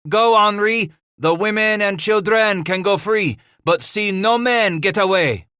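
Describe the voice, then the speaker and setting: A man voicing a vengeful French frontier fighter in an audiobook, shouting orders in broken English. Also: sits high, unhurried, smooth, dry recording, clean studio sound, voice pushed up. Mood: commanding.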